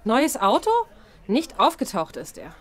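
A woman's voice: medium pitch, very animated, measured pace, slightly rough, full character voice. A woman playing a nosy, feisty young bartender with a blunt, gossipy, colloquial way of talking. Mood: annoyed. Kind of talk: audio drama acting.

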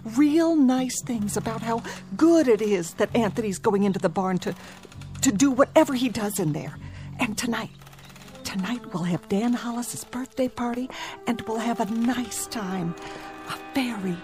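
An actress playing an anxious farm wife in a radio drama, speaking carefully and with forced, repetitive pleasantness. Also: female voice, a little high, unhurried, dark tone, soft background music, close to an everyday voice. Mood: soothing, uneasy.

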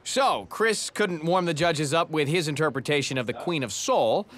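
A man's voice: on the high side, animated, moderate pace, background noise. A man reading scripted voice-over narration as the host of a TV talent show. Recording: loud music under voice-over. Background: loud music.

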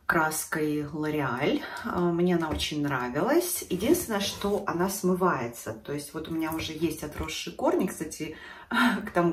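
A woman's voice: low-pitched, reverberant, distant mic, a little hiss or hum. A woman in her thirties with a stuffy nose from a cold, chatting casually to camera about beauty products at home. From Russia. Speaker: beauty vlogger. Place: living room at home.

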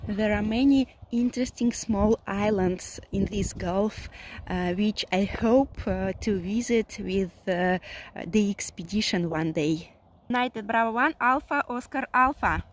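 A woman in her thirties with a Russian accent, talking in simple, halting English as a vlogger and amateur radio operator. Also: mid-range voice. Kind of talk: vlog talk and radio contacts. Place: outdoors on an open sea beach. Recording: faint outdoor ambient noise.

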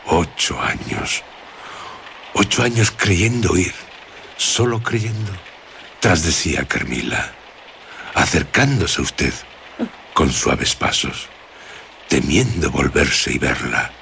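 An actor playing an ominous, insistent storyteller in a radio drama, speaking theatrically with suspenseful pauses. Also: male voice, very deep voice, big pitch swings, creaky, sharp tone, slight background noise, heavily acted. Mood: insistent, coaxing. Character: ominous, insistent male storyteller coaxing out dark secrets.

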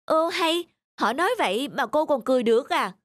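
A woman voicing a gossipy, meddling older village woman in an animated cartoon, with a nosy, exclamatory manner. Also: high voice, fairly quick, no room sound.

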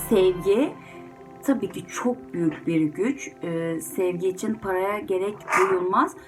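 Woman kids storyteller, mid pitch, chatting gently to children w advice, music clearly behind her. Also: poor audio. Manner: gentle advice-giving, addressing children directly. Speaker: children's storyteller and channel host. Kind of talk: children's story reading, then informal address to children. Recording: music under the voice.

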